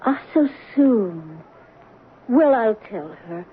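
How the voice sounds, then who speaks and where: An actress playing a kind, widowed mother in an old radio drama, gentle in manner with nervous little laughs. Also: medium pitch, animated, slow pace, phone-quality sound. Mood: gentle, anxious. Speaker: Celia, a mother. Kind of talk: 1970s radio drama acting. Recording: old radio broadcast, phone-thin with hiss.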